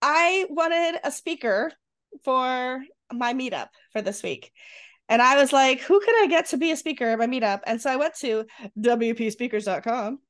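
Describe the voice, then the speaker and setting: A woman's voice: high voice, normal speed. A middle-aged woman with a Rochester, New York accent, co-hosting a casual podcast and telling stories with lots of laughter. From Western New York. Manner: animated storyteller, laughs often. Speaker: podcast co-host. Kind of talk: casual chat.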